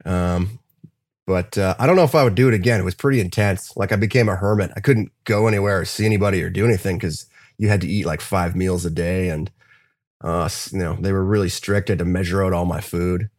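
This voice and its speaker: Man, guest drummer, low voice, candid, rambling, dry close sound. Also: fairly even intonation, fast, a little mellow, no echo. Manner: candid, rambling, swears casually. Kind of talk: casual interview.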